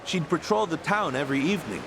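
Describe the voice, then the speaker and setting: A man's voice: a little high, animated, fast. A man voicing a friendly, dutiful local volunteer organizer in a video game, explaining things in an easygoing way. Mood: informative. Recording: clear outdoor town noise behind.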